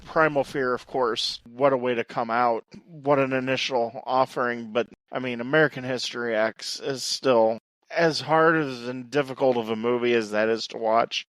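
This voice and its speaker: A man's voice: medium pitch, moderate pace, a bit bright, no echo. A man argues his reading of a film with firm conviction on a podcast. His mid-range voice is recorded dry and close, with no room sound around it. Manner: emphatic, sure of his reading. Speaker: podcast co-host. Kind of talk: casual film review chat.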